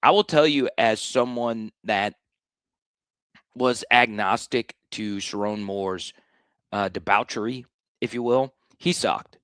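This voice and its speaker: A man with a slightly hoarse voice hosting a live sports talk stream, blunt and animated, often restarting his sentences. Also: on the low side, slow pace, no echo. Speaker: sports show host.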